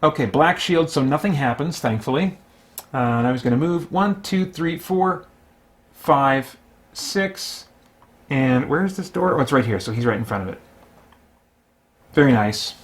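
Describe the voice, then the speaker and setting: A man hosting a solo tabletop game, giving casual, unscripted commentary and haltingly thinking aloud as he plays. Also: mid-range voice, expressive.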